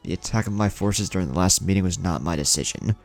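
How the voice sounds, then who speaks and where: A man plays a proud, very old ninja leader in an anime-style audiobook. The voice is low and gravelly, with blunt, weighty phrasing. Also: quick pace, faint music behind. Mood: weary, conciliatory. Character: gruff, proud, very old male shinobi leader.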